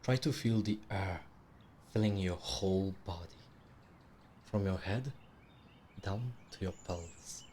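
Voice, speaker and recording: Male meditation guide, low register, soothing and measured with long pauses, birdsong ambience behind. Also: a little mellow, slight background noise. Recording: clearly audible background ambience with birdsong. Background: birdsong and nature ambience.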